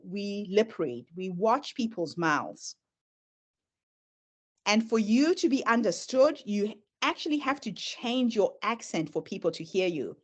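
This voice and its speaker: A middle-aged woman whose first language is Shona, speaking with a Zimbabwean accent tinged with American, giving an earnest presentation and sharing her own story as she moves through slides. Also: a little high. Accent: Zimbabwean, mixed with American. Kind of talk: presentation talk.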